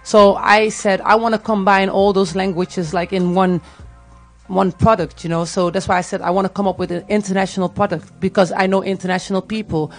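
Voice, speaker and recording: A woman with a Dutch accent and a gravelly edge, talking casually and hesitantly as an interview guest. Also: mid-range voice, little pitch movement, brisk, soft background music. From Netherlands, grew up in Tilburg. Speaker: music artist, interview guest. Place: radio studio.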